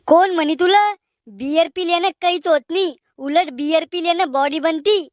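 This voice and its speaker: An extremely high-pitched young village man from a cartoon comedy , acted by a woman. He banters colloquially in a rural Maharashtrian Marathi accent. The sound is dry and close. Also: no room sound.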